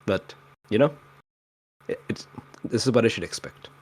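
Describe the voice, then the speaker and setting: A man with a low voice retells a tabletop game session in a loose, comic way. His delivery is dry, with mock-exasperated asides, and the sound is close and dry. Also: animated, moderate pace, husky, dry recording. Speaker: tabletop role-playing player.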